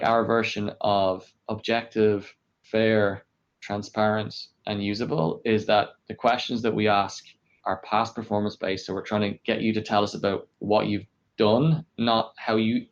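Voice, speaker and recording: A man explaining his work in a conversational interview, measured and explanatory, as a podcast guest. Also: fairly low pitch. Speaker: podcast guest, engineering director. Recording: dull, lo-fi podcast audio.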